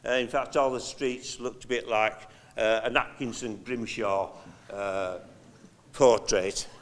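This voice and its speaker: A man's voice: mid-range voice, fairly even intonation, a little hiss or hum. Older man speaking in a reverberant hall. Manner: halting, conversational, with pauses and wry anecdotes. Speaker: eulogy speaker, friend of the deceased. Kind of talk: memorial eulogy, anecdotal storytelling from notes. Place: large hall at a memorial service.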